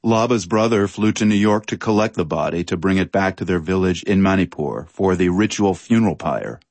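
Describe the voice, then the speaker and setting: Guy reading a news feature, really low voice, dry close sound. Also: lively intonation, fairly quick, darkish tone, dry recording. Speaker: radio news presenter. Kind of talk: scripted news narration.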